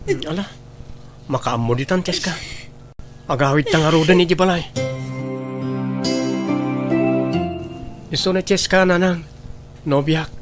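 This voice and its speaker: An actor plays an earnest young boyfriend in a radio drama. His voice is fairly high for a man, dutiful and apologetic. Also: normal speed, music in the background, close to an everyday voice. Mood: concerned.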